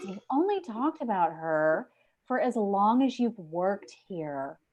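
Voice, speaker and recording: A woman playing a scornful, sharp-tongued office coworker with biting sarcasm in a sitcom table read. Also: mid-range voice, big pitch swings, slow. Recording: dull lo-fi video call.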